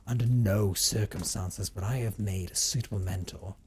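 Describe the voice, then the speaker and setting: A man's voice: fairly low pitch, steady tone, darkish tone, slight background noise, close to an everyday voice. A man playing a brooding, possessive vampire recluse in an audio roleplay monologue, with formal, theatrical phrasing and a teasing streak. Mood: remorseful, haunted. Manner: formal, theatrical, deliberate phrasing with condescending endearments. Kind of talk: dramatic first-person audio roleplay monologue.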